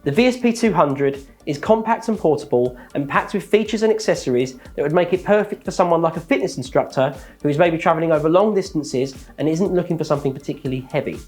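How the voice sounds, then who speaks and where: A man, sounding, calmly walking through product features in a scripted, methodical presentation. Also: on the high side, soft background music. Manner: measured, methodical listing of features.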